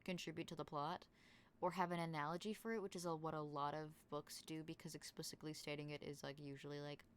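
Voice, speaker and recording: A woman's voice: deep voice, little pitch movement, quick pace, a little hiss or hum. A young woman in casual voice-call chat as a tabletop game player, rambling with many fillers. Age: young adult.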